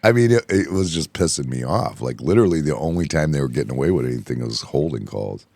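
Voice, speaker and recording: A hoarse man hosting a casual bar-talk show, rambling and excitable, often repeating himself. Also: very deep voice, fast talker, no echo. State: hoarse from yelling. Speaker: podcast host. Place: indoor room, bar setting.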